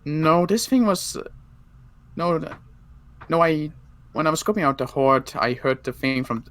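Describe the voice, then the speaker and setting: A man playing a cautious ex-military zombie apocalypse survivor in an improvised game roleplay, speaking haltingly and often rephrasing. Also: fairly high pitch, lively intonation, a bit bright, no room sound. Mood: wary.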